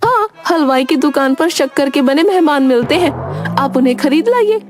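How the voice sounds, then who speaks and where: Actress as nagging village wife in a cartoon, really high voice, fed up but practical, faint music. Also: female voice, animated, normal speed. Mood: coaxing. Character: exasperated, practical village wife coaxing her husband. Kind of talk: Hindi cartoon dialogue.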